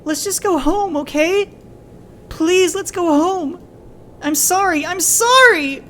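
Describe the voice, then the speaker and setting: A man voicing a desperate young male furry who pleads in a shrill, hoarse, crying voice. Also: slow, bright, forceful tone, a little hiss or hum, heavily acted. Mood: pleading, hysterical.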